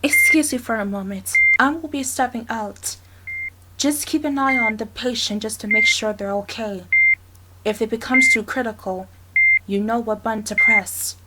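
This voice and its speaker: A woman playing a calm, polite hospital staffer in an audio roleplay, speaking in a measured, hesitant way. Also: a little high, heavy background noise, lightly acted. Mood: reassuring. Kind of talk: dramatic ASMR roleplay acting.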